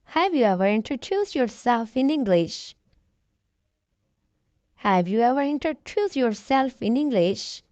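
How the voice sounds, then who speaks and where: A woman hosting an English lesson, clearly repeating each sentence for her learners. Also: fairly high pitch, very animated, unhurried, pauses often, mellow, no room sound, muffled recording. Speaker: English teacher and channel host. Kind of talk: lesson introduction.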